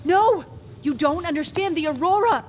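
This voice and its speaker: A woman's voice: extremely high pitch, expressive, moderate pace. An actress playing a distraught, insistent woman doctor warning townspeople in a video game drama. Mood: desperate. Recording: echoey hall with background noise.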